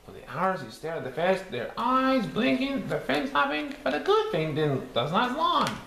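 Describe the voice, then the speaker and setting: Cheerful cartoon sponge reading a storybook, high, wildly swooping sing-song delivery with stumbles, in an echoey room. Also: male voice, sing-song, normal speed, creaky, pushed and bright, full character voice. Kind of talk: storybook read-aloud. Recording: echoey room with faint background noise.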